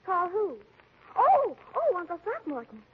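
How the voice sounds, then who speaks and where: A woman's voice: very high-pitched, huge pitch swings, a little slow, exaggerated voice. A woman playing a prim, patient young big sister of about twenty in 1940s radio comedy. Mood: patient, defensive. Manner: prim, corrective, flustered at times. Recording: dull noisy 1940s radio recording.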